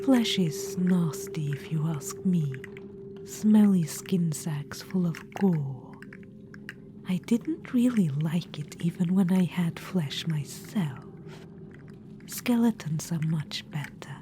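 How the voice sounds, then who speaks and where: A woman's voice: fairly low pitch, animated, slow pace, warm tone, slight background noise. Woman whispering as a doting undead witch-queen. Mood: doting menace. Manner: whispered, purring, calls listener darling; haughty and gleefully macabre. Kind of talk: whispered ASMR audio roleplay.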